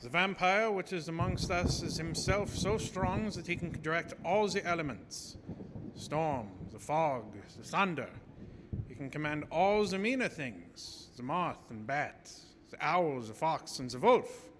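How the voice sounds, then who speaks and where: An actor playing a grave, commanding professor who hunts vampires, in solemn, oratorical radio drama style. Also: male voice, a little high, animated, background noise. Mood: grave, urgent. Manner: solemn, emphatic, oratorical, measured. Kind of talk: theatrical live radio drama acting. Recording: live theater stage, reverberant hall, music behind.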